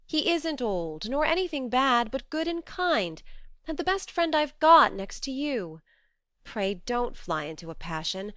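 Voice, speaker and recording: A woman playing an earnest, strong-willed young woman, speaking gently but firmly in a slightly raised, smooth voice. Her tone is close and dry. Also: on the high side, normal speed, dry recording, pitched above the performer's own voice, lightly acted. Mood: reasoning. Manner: earnest, reasoning, gently firm.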